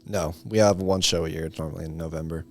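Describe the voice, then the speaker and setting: Low-voiced teenage young man, casual. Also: fairly even intonation, fast talker. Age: late teens. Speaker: high school senior guest. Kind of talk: casual chat.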